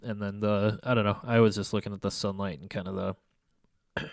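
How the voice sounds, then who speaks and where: Young man, congested, low-pitched, smooth, rambling with fillers, dry close sound. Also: flat delivery, fairly quick, no echo. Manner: rambling, hesitant, many fillers and restarts. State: congested, stuffy nose. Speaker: photography vlogger. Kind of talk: casual photo review commentary. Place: small room at home by a window.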